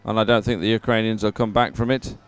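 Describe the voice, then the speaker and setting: A man's voice: fairly low pitch, fairly even intonation, fast talker, clear, resonant tone. A man giving live race commentary in an informal, conversational and encouraging way. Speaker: sports commentator.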